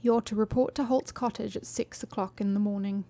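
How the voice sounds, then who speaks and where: A woman reading a fantasy audiobook, voicing a middle-aged male baron who speaks in a calm, measured, authoritative way. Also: mid-range voice, natural voice. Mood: gently commanding.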